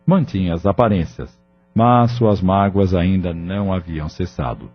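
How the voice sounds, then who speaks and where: A man's voice: deep voice, unhurried, husky, highs cut off. A Brazilian man reading scripted narration for an audio drama. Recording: band-limited recording with music bed. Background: music.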